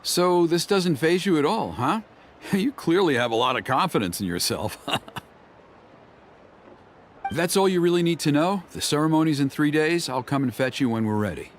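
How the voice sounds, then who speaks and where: A man voicing a courteous, smooth-talking envoy. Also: a little high, animated, moderate pace, slight background noise, close to an everyday voice. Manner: courteous, gently persuasive.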